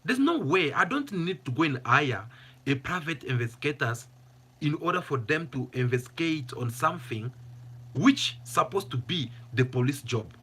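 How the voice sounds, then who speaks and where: A man's voice: a little high, very animated, moderate pace, sharp tone, husky. A man hosting his own commentary channel, speaking English as a second language in a passionate, emphatic way and repeating phrases for stress. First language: not English. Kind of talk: opinionated vlog commentary.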